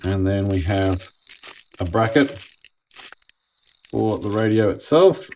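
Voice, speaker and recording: A man's voice: on the low side, lively intonation, slow, darkish tone, a little hiss or hum. An Australian man giving a casual hands-on product review in a laid-back, plain-spoken way, as if thinking aloud. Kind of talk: casual unboxing and review commentary.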